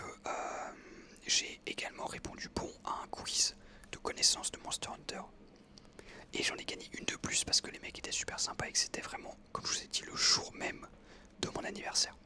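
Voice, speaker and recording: A man in his twenties whispering a relaxed personal story while out on an evening walk, hesitating often with fillers. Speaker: ASMR creator.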